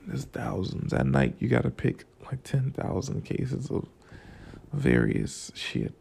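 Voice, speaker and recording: Groggy gravelly young man rambling. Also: medium pitch, huge pitch swings, slow pace, a little mellow, a little hiss or hum. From Florida. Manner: loose, profane, drifts between stories and life lessons. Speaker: vlogger. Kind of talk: casual rambling monologue.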